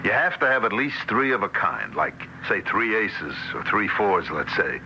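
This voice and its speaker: A brusque, worldly American husband in an old radio drama. His voice is low and very piercing, with lively swings in pitch. He sounds impatient and anxious, on a slightly hissy vintage recording. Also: moderate pace, full character voice, rough recording. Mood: brisk, instructive. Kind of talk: mid-century American radio drama acting.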